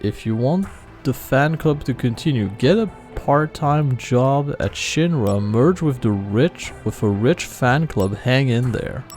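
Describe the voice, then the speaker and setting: A man's voice: medium pitch, lively intonation, unhurried, a little mellow. A man giving casual video game commentary, reading text aloud and adding wry asides. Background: game music.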